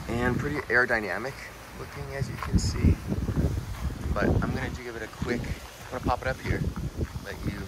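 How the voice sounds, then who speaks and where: Low-voiced man demoing gear outdoors. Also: very noisy. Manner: relaxed, explaining while handling gear. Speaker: product reviewer. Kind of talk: casual hands-on demo. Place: outdoors beside a car, then inside a rooftop tent.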